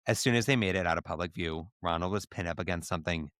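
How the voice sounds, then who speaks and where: A man's voice: low-pitched, fast, a bit bright, clean tone, no echo, clean studio sound. A man hosting a podcast, reading a story aloud for comedy with casual asides. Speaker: podcast host and story reader. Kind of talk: comic dramatic reading with casual banter.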